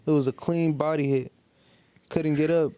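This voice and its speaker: Mellow, higher-pitched young man chatting sports. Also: steady tone, moderate pace, clean tone, no echo. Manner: slangy, profane. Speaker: podcast host. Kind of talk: casual sports chat.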